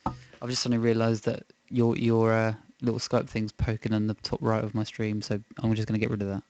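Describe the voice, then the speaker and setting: A man with a croaky voice chatting casually while setting up and driving in a racing game on a live stream, thinking aloud as he goes. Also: on the low side, completely flat delivery, fairly quick, a little mellow, lo-fi sound, a little hiss or hum. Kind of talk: casual gaming stream chat. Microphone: desk microphone.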